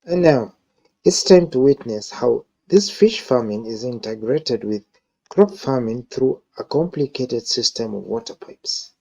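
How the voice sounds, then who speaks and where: Medium-pitched male newsreel narrator. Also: animated, measured pace, vocal fry, dark tone, rough recording. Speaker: reporter and narrator. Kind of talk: scripted newsreel voice-over narration.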